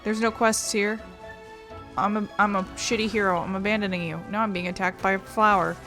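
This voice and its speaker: A woman's voice: medium pitch, steady tone, a little fast. Rambling woman gamer over faint music. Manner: rambling, thinking aloud. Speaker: gaming channel host. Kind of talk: casual let's play commentary.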